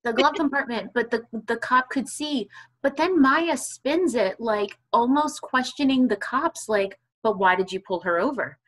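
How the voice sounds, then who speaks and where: A woman's voice: on the high side, animated, normal speed, low-quality recording. A woman co-hosting a casual book discussion, thinking aloud and repeating phrases as she talks. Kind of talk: casual chat.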